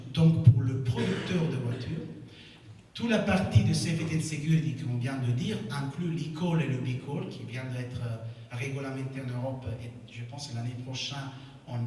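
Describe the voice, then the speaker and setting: A man with an Italian accent giving a conference talk, halting and correcting himself. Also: mid-range voice, a little mellow, a little hiss or hum. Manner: halting, self-correcting, with non-native phrasing. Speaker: conference speaker. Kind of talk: conference presentation. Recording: echoey hall, speaker far from mic.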